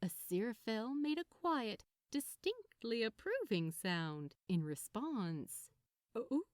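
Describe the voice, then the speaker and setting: A woman reading a fan fiction story aloud as an expressive narrator. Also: on the high side, slow pace, no room sound, clean studio sound. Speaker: podfic narrator.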